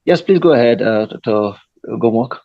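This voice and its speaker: A man's voice: medium pitch, animated, normal speed, no room sound. A man with a Nigerian English accent hosting a panel discussion, hesitant with many fillers, over a lo-fi online call. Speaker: host.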